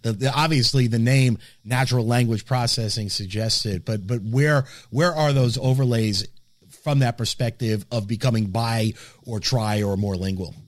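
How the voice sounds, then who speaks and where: Halting male interviewer, very dry sound. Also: medium pitch, no echo. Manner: halting, wordy, repeats words while forming questions. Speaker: interviewer, host. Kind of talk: conversational interview questioning.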